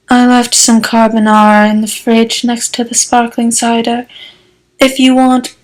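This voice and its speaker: A woman's voice: on the high side, low-quality recording. A woman playing a cold, snobbish middle-aged mother in an audio drama, talking in a curt, condescending way. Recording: music playing behind.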